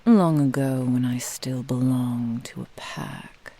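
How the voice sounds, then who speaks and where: Woman acting a slow, breathy, seductive fox witch. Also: a bass voice, steady tone, mellow, full character voice. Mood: haughty, reminiscing. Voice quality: breathy, whispery. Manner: slow, lingering, possessive. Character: vain possessive seductive female nine-tailed kitsune witch. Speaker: roleplay audio voice actor. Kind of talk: ASMR roleplay, intimate scripted monologue.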